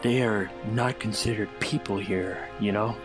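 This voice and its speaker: A man playing a somber, guarded adult hedgehog with a grave, measured delivery in a fan fiction audio drama. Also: on the low side, steady tone, over music, pitched below the performer's own voice, lightly acted.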